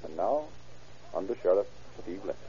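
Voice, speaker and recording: Very mellow, husky American radio announcer. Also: male voice, low-pitched, lively intonation, moderate pace. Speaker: narrator and announcer. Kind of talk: 1930s radio sponsor announcing. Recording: muffled 1930s radio recording.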